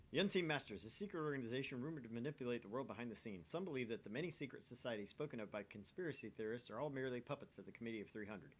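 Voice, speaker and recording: A man reading a visual novel aloud for a let's play, in a dramatic, expressive way with casual asides. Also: mid-range voice, rapid-fire. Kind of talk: voice-acted read-through with casual asides.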